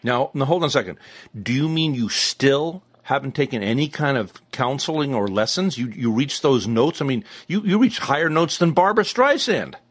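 A man's voice: medium pitch, lively intonation, normal speed, husky, no room sound. A man hosting a conversational celebrity interview in a gushing, effusive way. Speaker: interview host.

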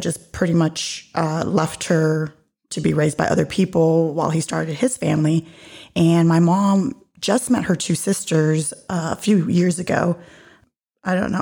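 A woman's voice: fairly low pitch, gravelly, darkish tone, breathy edge. A woman born in Puerto Rico hosting a solo podcast, chatting in a rambling way with many ums and restarts. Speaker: podcast host. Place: home in Georgia, USA.

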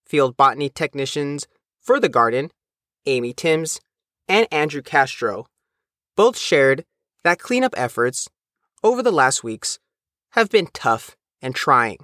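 Lively-pitched man reading news, dry sound. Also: a little slow, long pauses, dry recording. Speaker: reporter. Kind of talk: scripted news narration.

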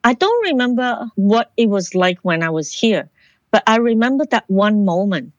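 A woman's voice: medium pitch, big pitch swings, moderate pace, a little mellow, no echo, dull audio. A woman from Hong Kong, a guest in an interview who tells her life story in an earnest, reflective way and often restarts her sentences. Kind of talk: personal life story in conversation.

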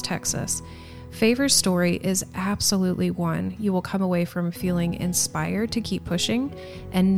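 A woman's voice: fairly low pitch, creaky. A woman hosting an interview podcast, presenting and putting questions to a guest.

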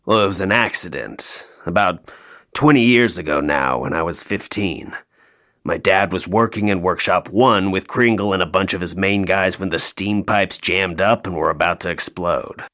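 An actor playing a jaded, sardonic male elf toymaker in an audio play, deadpan, dry and downbeat. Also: sits low, normal speed. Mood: bitter, wounded.

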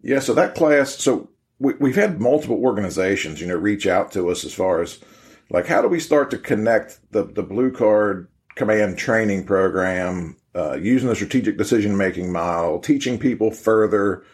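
A man with a low voice and an American accent talks through program details on a podcast. He is conversational and loosely structured, circling back over his own phrases as he explains. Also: lively intonation, moderate pace. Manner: conversational, rambling, repeats phrases as he goes. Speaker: fire training program leader on a podcast. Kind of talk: informal explanatory podcast talk.

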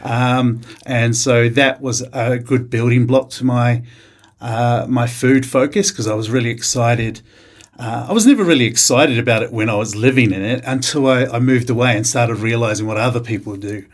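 A man in his forties from New Zealand with a New Zealand accent, a chef talking casually in an interview, pausing often with fillers and restarts. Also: medium pitch. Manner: hesitant, many uh fillers and restarts.

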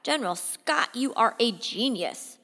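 A woman's voice: fairly high pitch, lively intonation, a little slow, pushed and bright, exaggerated voice. Grave, thoughtful male president read by a woman, graphic novel storytelling, hall-like echo. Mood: pondering. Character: serious, worried male president weighing a war plan.

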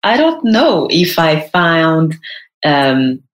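A woman's voice: low-pitched, big pitch swings, very slow, reverberant. A woman in her thirties, a stylist talking in an interview, hesitant and full of fillers and restarts. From France. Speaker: guest, personal brand stylist. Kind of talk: casual interview chat.

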